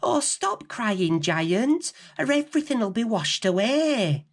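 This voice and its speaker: Plucky little storybook girl, played by a male reader: high, urgent, expressive, with big swoops in pitch. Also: slow, exaggerated voice. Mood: concerned, insistent.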